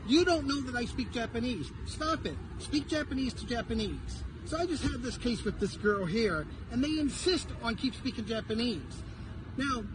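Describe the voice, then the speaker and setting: A man's voice: very high voice, noisy background. A man living abroad ranting with irritation to the camera. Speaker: foreign resident in Japan. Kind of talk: complaining clip to camera.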